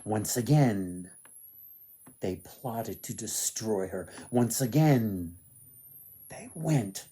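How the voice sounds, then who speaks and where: Slow, husky male folktale storyteller. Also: lowish voice, expressive, mellow. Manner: theatrical, deliberate phrasing with emphatic repetition. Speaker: author, storyteller.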